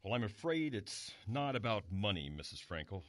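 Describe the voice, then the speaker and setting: Low-voiced, measured police detective. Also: male voice, very animated, fairly bright tone, dry recording, clean studio sound. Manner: formal, procedural, pointed. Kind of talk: modern audio drama acting.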